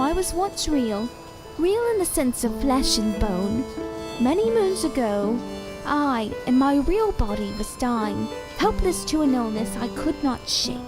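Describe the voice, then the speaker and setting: A little doll come to life, speaks high and sweetly over music. She is theatrical and sorrowful, choosing ornate, old-fashioned words as in a gothic radio play. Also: poor audio. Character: living doll of a woman, small, sweet-talking, sorrowful, theatrical. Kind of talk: audio drama acting.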